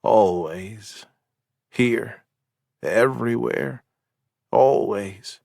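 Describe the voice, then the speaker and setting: Haunted, drugged Southern mental patient, gruff slow drawl, low voice, as a narrator puts him on; audiobook figure. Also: male voice, darkish tone, dry recording, clean studio sound, heavily acted.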